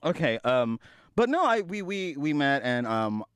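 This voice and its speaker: Podcast host from the South, playful teasing man with a lively voice. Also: a little high, a little slow, smooth, no room sound, clean studio sound. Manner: playful, teasing, laughs often. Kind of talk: casual chat.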